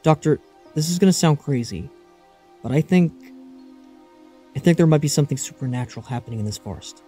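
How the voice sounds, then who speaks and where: Man acting an earnest, higher-pitched drama adventurer. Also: lively intonation, a little fast, mellow, faint music behind, natural voice. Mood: puzzled, serious. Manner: dramatic, emotive. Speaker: voice actor performing all parts. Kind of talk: fan-made audio drama acting.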